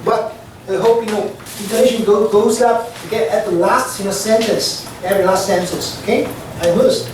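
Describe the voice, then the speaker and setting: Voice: mid-range voice, moderate pace. An aviation instructor with a mother tongue, lecturing a class in loose, repetitive full of "okay" and "you know". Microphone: distant room mic. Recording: echoey classroom from far off, noisy.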